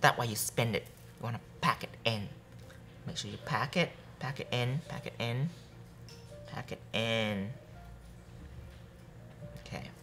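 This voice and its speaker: A young man teaching a live nail art workshop, chatty and repeating steps softly as he demonstrates. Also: mid-range voice, measured pace, pauses often, soft background music. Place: bright studio classroom with students.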